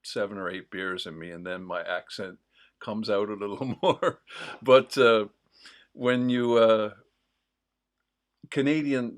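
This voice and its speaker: A man with a mild Newfoundland accent, hosting a livestream in a casual, rambling, digressive chat full of pauses. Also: fairly low pitch. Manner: digressive, with many pauses and fillers. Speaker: host of a livestream.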